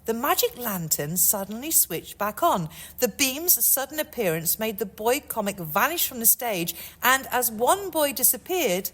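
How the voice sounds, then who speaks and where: A woman author reading a chapter of her own novel in a measured storytelling manner. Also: a little high, a bit bright.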